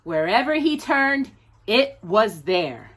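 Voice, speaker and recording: A woman hosting a children's storytime, reading a picture book with playful, drawn-out emphasis and chatty asides to a companion. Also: a little high, very animated, a bit bright. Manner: addresses a companion directly while reading.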